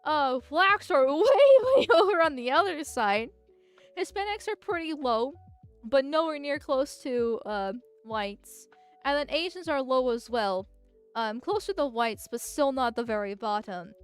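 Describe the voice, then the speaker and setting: A woman's voice: high-pitched, animated, a little slow, clear, resonant tone, faint music behind. A woman streamer with a nasal voice, chatting casually in a rambling, giggly way with frequent filler words. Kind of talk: casual livestream chat.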